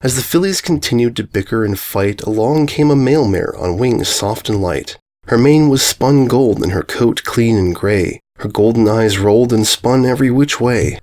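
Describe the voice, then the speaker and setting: A man with a medium-pitched voice reads a rhyming children's tale. His cadence is measured and singsong, with very lively rises and falls. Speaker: story reader. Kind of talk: rhyming children's storybook narration.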